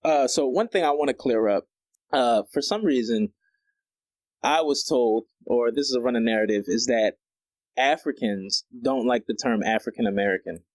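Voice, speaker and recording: A man hosting a casual podcast interview, relaxed and quick with short affirmations. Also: mid-range voice, no room sound. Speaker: podcast host.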